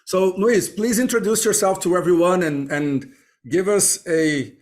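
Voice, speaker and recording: A man hosting a podcast interview, introducing his guests warmly and asking questions with many hesitant fillers. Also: high voice, a little slow. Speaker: podcast host.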